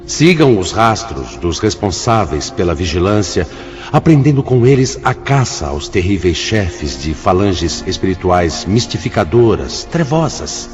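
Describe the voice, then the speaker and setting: Commanding spirit guide, Brazilian accent, sweeping intonation, grave exhortation, dull recording over music. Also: male voice, low voice, sing-song, measured pace. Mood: solemn urging. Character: commanding male spirit mentor urging courage and caution. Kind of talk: Brazilian radio drama acting. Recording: dull lo-fi radio drama, music behind.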